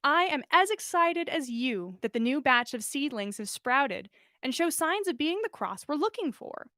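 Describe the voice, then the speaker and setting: A woman reading fan fiction aloud as a podcast host, in an expressive storytelling style. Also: high voice, moderate pace, no echo, clean studio sound.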